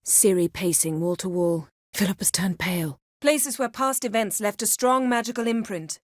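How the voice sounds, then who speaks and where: A woman voicing a proud, sharp-tongued sorceress in a video game, speaking in a cool, measured, sardonic and commanding manner. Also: mid-range voice, steady tone, no echo, studio quality, close to an everyday voice. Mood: serious, composed.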